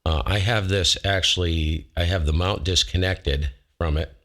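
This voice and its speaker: A man with a low voice hosting a ham radio show, talking casually through a microphone demo from his home shack on a close dynamic mic, rambling and correcting himself as he goes. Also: fairly even intonation, normal speed, a bit bright. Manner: rambling, self-correcting, folksy. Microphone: close dynamic studio mic.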